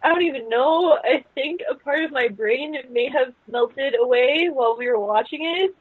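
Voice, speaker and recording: A woman's voice: high-pitched, moderate pace, slightly rough, dry recording. A woman on a panel reviewing films in casual chat, recorded over a thin internet call. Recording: dry phone-like internet call.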